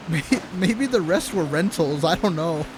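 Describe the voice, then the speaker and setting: Low-voiced, animated woman streaming a game. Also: a little slow, background noise. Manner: informal, sweary, reactive. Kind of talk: casual live game commentary.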